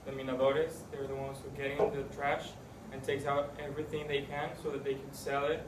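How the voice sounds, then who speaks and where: A man's voice: mid-range voice, flat delivery, fairly quick. A teenage boy presenting a school project casually, filling gaps with "like", recorded from a distant camera in a classroom. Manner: casual, uses filler "like". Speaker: student presenter. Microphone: far camera. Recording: echoey classroom from far off, noisy.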